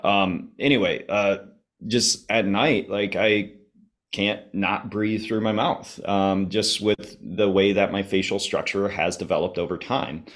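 A man chatting casually as a podcast guest, informal and explanatory, his voice slightly hoarse and a little congested. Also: on the low side, fairly even intonation, measured pace, a bit bright. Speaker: podcast guest, health enthusiast. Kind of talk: casual conversational interview.

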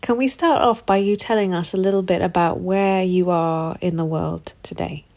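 A woman's voice: fairly low pitch, moderate pace, a little mellow. A woman hosting a podcast interview in a casual, affectionate way, calling her guest and listeners love. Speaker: podcast host. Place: rural home in the countryside.

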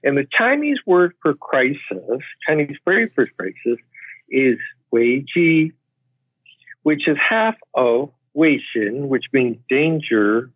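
Measured man on a tinny phone line. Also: mid-range voice, sing-song, husky, dry recording. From Canada. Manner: measured, hesitant with many uh's. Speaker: podcast guest, immigration expert. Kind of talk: interview answers.

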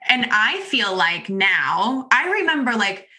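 Bright-voiced young woman, chatty. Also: on the high side, big pitch swings, takes it slowly. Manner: chatty, enthusiastic, heavy use of "like". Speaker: interviewer and former client. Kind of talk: casual interview chat.

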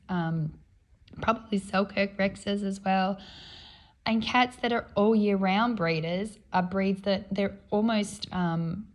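Chatty woman, croaky from a cold, talking off the cuff as a podcast host. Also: medium pitch. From Australia. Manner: chatty, relaxed, with frequent ums. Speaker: podcast host and cat breeder. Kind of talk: off-the-cuff explanatory podcast talk.

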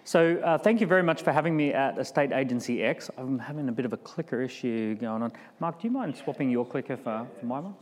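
A man's voice: mid-range voice, animated, quick pace, few pauses. A middle-aged man with an Australian accent giving a conversational keynote talk to a live audience. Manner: conversational, with fillers and restarts. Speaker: keynote speaker. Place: large room with live audience. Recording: long hall reverb.

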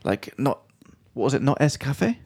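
A man hosting a podcast, chatting and teasing playfully over drinks and slowly explaining words. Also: mid-range voice, big pitch swings, vocal fry, a little mellow, husky. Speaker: podcast host. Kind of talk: casual chat over drinks.

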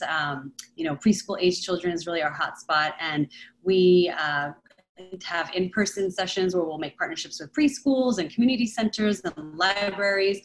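A woman's voice: fairly low pitch, a bit bright, a little hiss or hum, poor audio. A woman from Los Angeles, a company founder, talking as a guest in a chatty, enthusiastic way. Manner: chatty and enthusiastic, frequent fillers and laughs. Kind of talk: casual interview talk.

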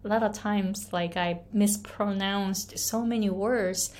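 A woman's voice: medium pitch, steady tone, slow, a little mellow. A young adult woman from Japan with a Japanese accent, an English pronunciation coach speaking earnestly and chattily to camera, often tagging "right?" and laughing lightly. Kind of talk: casual motivational talk to camera.

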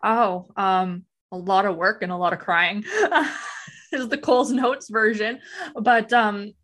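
A woman's voice: medium pitch, fairly even intonation, slow pace, a bit bright. A woman from Alberta with a Western Canadian accent, chatting informally and ramblingly as a podcast guest. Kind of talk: casual interview chat.

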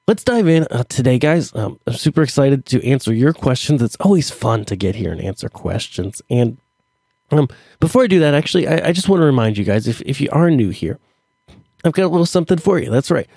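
Gravelly, congested man hosting a podcast. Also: mid-range voice, dark tone, dry recording, clean studio sound. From Middle Tennessee. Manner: chatty, rambling, many fillers. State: stuffy nose, hoarse from allergies. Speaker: podcast host. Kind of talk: solo podcast talk.

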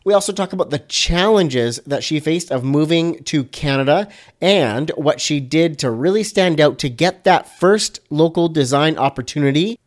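Guy hosting a design podcast, kinda high voice, goofy and jokey, super casual. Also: expressive, moderate pace. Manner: playful and jokey, self-deprecating asides. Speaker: podcast host. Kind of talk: casual interview, upbeat show intro.

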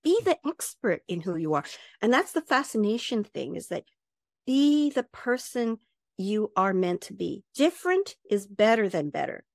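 A middle-aged woman, an HR professional and advisor, talking in an interview and acting out quotes with lively jumps in pitch. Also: moderate pace, creaky, dry recording, studio quality. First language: English. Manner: animated storyteller, acts out quotes with big pitch jumps. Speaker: guest, HR professional and certified advisor. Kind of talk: conversational interview.

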